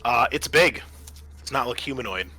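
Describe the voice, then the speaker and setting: Bright-voiced man narrating a tabletop game. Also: mid-range voice, animated, husky. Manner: halting, pieced-together narration. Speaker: game master.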